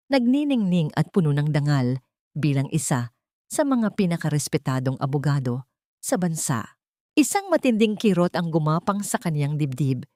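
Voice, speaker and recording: A woman with a Filipino accent reading a scripted dramatic story in a measured, solemn, sentimental way. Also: low voice, darkish tone, no room sound, clean studio sound. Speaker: audio story narrator.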